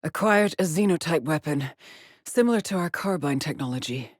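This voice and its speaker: A woman's voice: deep voice, expressive, moderate pace, dry recording, studio quality. An actress voicing a haunted, determined female astronaut scout in a video game, with terse, introspective, formal phrasing. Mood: focused, clinical. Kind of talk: dramatic video game monologue and log entries.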